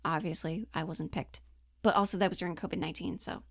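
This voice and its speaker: A woman's voice: fairly low pitch, steady tone, quick pace, gravelly, a bit bright, no room sound, clean studio sound. A woman giving a casual, explanatory acting lesson, chatty and informal, often thinking aloud as she goes. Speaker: acting coach.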